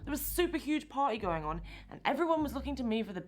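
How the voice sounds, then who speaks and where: Breezy, high-voiced young party girl. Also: big pitch swings, very fast, slight background noise. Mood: breezy, self-justifying. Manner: breezy, casual, babe-dropping, evasive. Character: flippant, self-absorbed young party-girl daughter dealing drugs. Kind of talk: naturalistic screen acting in an interactive film.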